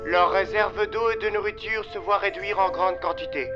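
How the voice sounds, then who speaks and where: A man's voice: sits high, fairly even intonation, normal speed, muffled recording. A man delivering dramatic scripted narration as the narrator of a French fan-made saga. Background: music.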